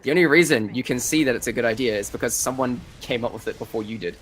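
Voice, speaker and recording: A man's voice: medium pitch, fast talker, fairly bright tone, soft background music, rough recording. A man with a New Zealand accent, chatting casually on a live gaming stream, rambling and restarting his sentences. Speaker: streamer and video creator.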